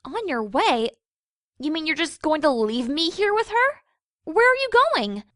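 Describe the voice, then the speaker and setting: A young pony princess voiced by a woman from a cartoon fan drama, high-voiced and very expressive. She sounds earnest yet exasperated, questioning everything with incredulity. The recording is dry and close. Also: a little slow, dry recording, heavily acted. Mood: indignant. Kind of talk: fan fiction audio drama acting.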